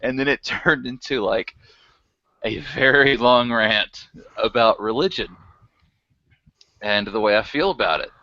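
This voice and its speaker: A man's voice: mid-range voice, measured pace, long pauses, pushed and bright, dry recording. A man chatting casually as a podcast guest, rambling and chuckling often. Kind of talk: casual chat. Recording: dry, dull lo-fi recording.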